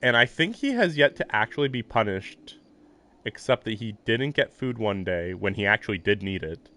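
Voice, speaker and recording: A man's voice: on the low side, lively intonation, normal speed, bright, forceful tone. A man livestreaming a visual novel, reading the narration aloud and adding rambling, amused casual commentary. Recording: game music playing behind. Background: video game music.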